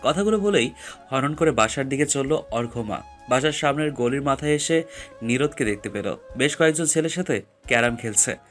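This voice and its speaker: A man's voice: mid-range voice, lively intonation, normal speed, fairly bright tone. Guy narrating a story from a script, medium voice, music underneath.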